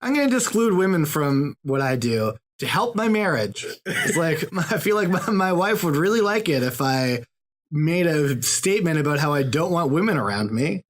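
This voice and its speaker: A man's voice: on the high side, sing-song, moderate pace. Wry, questioning male podcast guest. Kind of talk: casual chat.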